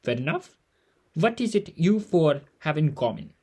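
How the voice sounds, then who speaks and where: An actor playing a kindly, earnest old mentor in a family audio drama, speaking in formal, deliberate phrases. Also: mid-range voice, very animated, a little mellow. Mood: earnest, measured.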